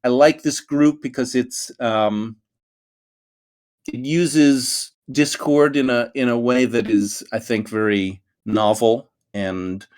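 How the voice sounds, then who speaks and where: A man's voice: medium pitch, steady tone, slow, darkish tone. Middle-aged New York man, English teacher hosting a voice-chat class; friendly, meandering, lots of ums and restarts. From United States. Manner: friendly, rambling with many fillers and restarts. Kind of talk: hosting an online conversation class. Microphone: online voice chat.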